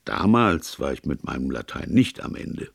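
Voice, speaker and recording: Man voicing a deep-voiced, earnest priest detective. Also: moderate pace, creaky, breathy edge, dry recording, clean studio sound, exaggerated voice. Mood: rueful, earnest. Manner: even-tempered, sincere, discursive. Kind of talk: audiobook character dialogue.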